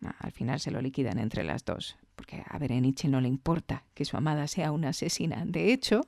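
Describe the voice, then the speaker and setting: A woman's voice: rumbling low, lively intonation, brisk, vocal fry, darkish tone, no echo, studio quality. A woman with an accent from Spain, giving conversational commentary as a podcast host.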